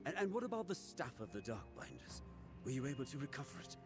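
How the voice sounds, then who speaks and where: Fantasy game quest-giver: formal, earnest, declamatory male leader, medium pitch, heavy music bed. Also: huge pitch swings, fast. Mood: anxious, urgent. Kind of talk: scripted game dialogue. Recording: game dialogue under loud music.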